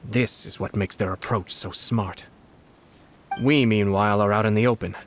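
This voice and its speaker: A man playing a refined, shrewd noble commissioner in a video game, formal and measured. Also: on the low side, fairly even intonation, a little hiss or hum, lightly acted. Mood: calm, analytical. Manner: formal, measured, precise wording.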